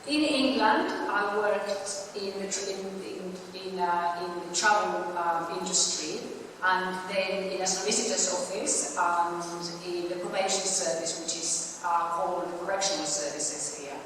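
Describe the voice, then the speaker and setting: A woman's voice: fairly low pitch, steady tone, measured pace, fairly bright tone. An older woman from Spain with a Spanish accent, giving an earnest campaign speech at a public meeting, with frequent restarts and hesitations. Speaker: political candidate. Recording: echoey hall, distant mic, background noise.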